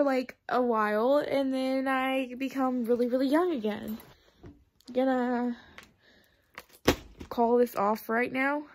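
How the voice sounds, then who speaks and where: A teenage girl vlogging in a rambling, giggly, jokey way, chatting casually into a phone held at arm's length at home. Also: a little high. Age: teens. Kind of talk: casual chat to camera. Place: rooms at home.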